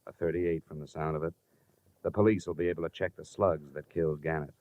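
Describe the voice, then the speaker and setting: Low-voiced hard-boiled radio investigator, American. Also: male voice, fairly even intonation, fast talker. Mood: terse, pressing. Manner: clipped, no-nonsense. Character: hard-boiled, blunt male insurance investigator. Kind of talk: 1950s radio detective acting. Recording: muffled vintage 1950s radio recording.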